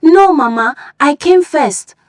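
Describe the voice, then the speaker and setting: A woman's voice: high-pitched, lively intonation, slow pace, no echo, studio quality, pitched above the performer's own voice. A woman voicing eager, boastful young village women competing to help in a folktale. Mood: eager, pushy. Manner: pushy, boastful.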